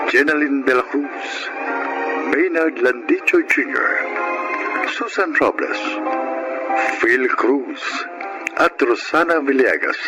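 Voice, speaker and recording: A man narrating a radio drama as its announcer, formal and measured, reading a script. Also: medium pitch, rough recording. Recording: prominent music bed under voice. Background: music.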